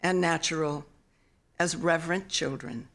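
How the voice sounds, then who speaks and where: A mature woman reads her own literary prose to a live audience. Her voice sits a little low, and she takes her time with a very slow, measured delivery. The storytelling is solemn yet expressive. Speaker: author reading own work. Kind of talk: literary memoir reading at live event. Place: theater stage, live reading.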